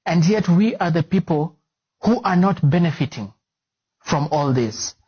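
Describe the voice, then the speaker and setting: A man's voice: fairly high pitch, expressive, measured pace, husky, highs cut off. A male interview guest giving a long, earnest explanation with frequent fillers. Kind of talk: interview answer.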